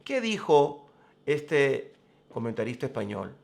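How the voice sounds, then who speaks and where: A man hosting a programme, giving commentary in a deliberate, emphatic, explanatory way. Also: medium pitch, sing-song, creaky, a little mellow. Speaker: host of a religious current-affairs programme. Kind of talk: spoken commentary to camera.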